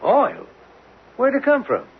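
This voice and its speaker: An actor playing a blunt, excitable oil-rig boss in a radio drama, brisk and bossy. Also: male voice, very high-pitched, breathy edge, heavily acted. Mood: excited. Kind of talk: old-time radio drama acting. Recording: muffled, crackly old radio recording.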